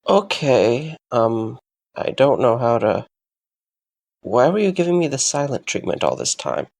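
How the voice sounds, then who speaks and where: Audio drama role: exasperated, sardonic young man, played by a male actor, mid pitch with gravelly fry. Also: sing-song, unhurried, darkish tone. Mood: baffled, sarcastic. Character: frustrated, sarcastic, weary young man scolding a puppet. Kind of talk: fan audio drama acting.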